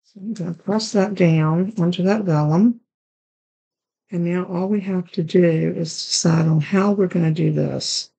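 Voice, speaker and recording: A woman with a raspy, hoarse voice hosting a card-making tutorial, chatting along as she works through each step. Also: lowish voice, measured pace, dark tone, poor audio. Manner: chatty, talks while working with her hands. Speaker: card-making channel host.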